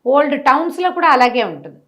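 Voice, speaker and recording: A woman telling a moral story conversationally, with animated delivery, rhetorical questions and everyday examples. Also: sits high, quick pace. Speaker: storyteller, interview guest.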